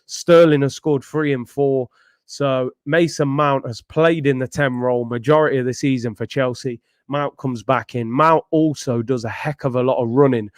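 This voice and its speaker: Slangy football host. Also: male voice, medium pitch, fairly even intonation, normal speed, no room sound, studio quality. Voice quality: slangy, conversational. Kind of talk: casual football chat.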